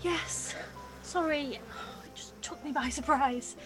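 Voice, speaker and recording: A young woman playing an excitable, anxious nineteen-year-old trainee beekeeper in an audio drama. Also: high voice, slow pace, music in the background. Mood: flustered, apologetic. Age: young adult. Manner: breathless, excitable, cheeky.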